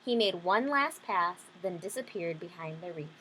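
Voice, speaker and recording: A woman's voice: on the low side, animated, moderate pace, sharp tone, a little hiss or hum, poor audio. A woman reading a children's storybook aloud, adding simple explanations for young listeners. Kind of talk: children's picture-book reading with simple asides.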